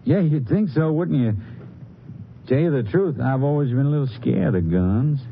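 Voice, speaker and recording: A man's voice: medium pitch, animated, moderate pace, breathy edge. An American actor playing a nervous, evasive small-town hardware man in a 1950s western radio drama, with a folksy, hesitant and over-agreeable manner. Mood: uneasy, evasive. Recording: tinny, hissy 1950s radio recording.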